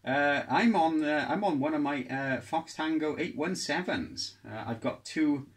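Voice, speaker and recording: A man talking casually as an amateur radio operator, unhurried and repeating phrases for emphasis. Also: on the high side. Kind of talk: casual ham radio contact. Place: home radio shack.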